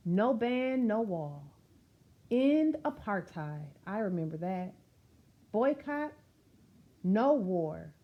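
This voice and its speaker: A woman reads a rhyming children's book aloud with a highly animated, swooping delivery. Her mid-pitched voice leans into key words with emphasis. She breaks off for conversational asides and questions to her listeners. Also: dull audio. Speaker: storyteller reading a picture book to children.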